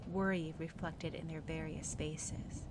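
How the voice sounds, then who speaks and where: A woman's voice: sits low, level tone, slow, heavy background noise. A woman reading scripted fiction as an audiobook narrator.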